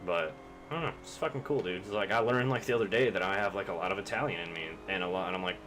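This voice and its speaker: A man's voice: deep voice, racing, noisy background. A young man from Kentucky, chatting casually and rambling with plenty of swearing while streaming a game. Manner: rambling, profane, laid-back.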